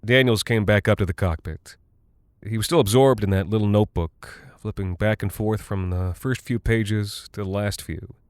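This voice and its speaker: An actor playing a rattled, folksy American cargo pilot who talks in a halting, confiding way, like a personal audio log in a horror drama. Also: male voice, lowish voice, clear, resonant tone, dry recording, clean studio sound, natural voice. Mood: uneasy, confiding. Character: weary, rattled male military cargo pilot, chatty and folksy.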